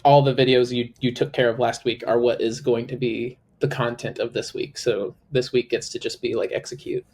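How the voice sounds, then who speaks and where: A man's voice: fairly even intonation, normal speed, a little mellow. Male co-host, a little nasal, loose filler-heavy casual chat. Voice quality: slightly high, a little nasal. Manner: chatty, filler-heavy, lots of "like".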